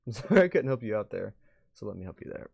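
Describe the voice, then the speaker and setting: A man with a fairly low, gravelly voice is streaming a game. He reads text aloud in a measured way, then slips into casual, muttered asides to his viewers. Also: very animated, dull audio. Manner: drifts between measured reading and offhand muttered commentary.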